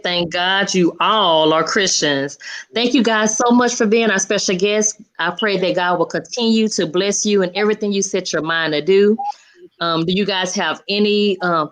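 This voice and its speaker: A woman's voice: medium pitch, normal speed, fairly bright tone, a little hiss or hum. A woman hosting a casual, chatty interview, encouraging her guests and echoing what they say. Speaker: show host interviewing guests.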